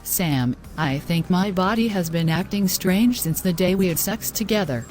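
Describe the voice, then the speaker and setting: A female actor plays a devout young girlfriend in distress in a moral-story audio drama. Her phrasing is formal and earnest. The voice is on the low side for a woman, over faint background music. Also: normal speed, darkish tone, lightly acted. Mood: anxious, distressed. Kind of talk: scripted moral-story dialogue.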